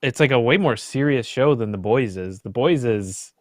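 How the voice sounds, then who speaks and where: A man's voice: mid-range voice, expressive, moderate pace, dry recording, clean studio sound. A young adult man chatting casually and informally, with a sardonic streak and some swearing. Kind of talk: casual group chat.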